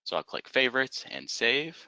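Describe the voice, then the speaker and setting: A man narrating an instructional webinar as a software trainer, explaining methodically step by step in a close, dry, slightly dull recording. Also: medium pitch, big pitch swings, normal speed, creaky, dry recording.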